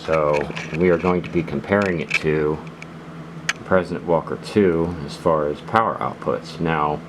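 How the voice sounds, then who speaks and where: Very deep-voiced man, noisy recording. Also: a little slow. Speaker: host of a radio gear channel. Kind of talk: casual product demonstration. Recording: clearly noisy background.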